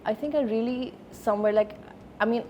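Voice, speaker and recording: A woman's voice: fairly high pitch, mellow, slight background noise. Thoughtful woman from Sweden. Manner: thoughtful, many pauses and fillers. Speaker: guest, film producer. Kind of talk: reflective personal interview.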